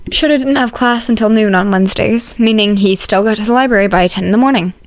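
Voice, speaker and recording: A woman's voice: medium pitch, fast, no echo. A woman reading scripted story narration as an audiobook narrator.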